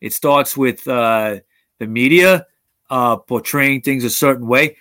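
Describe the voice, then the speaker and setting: A man's voice: mid-range voice, slow, fairly bright tone, no echo, studio quality. A man hosting a talk show, speaking bluntly with frequent swearing and restarts. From United States. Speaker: podcast host. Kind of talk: opinionated casual talk.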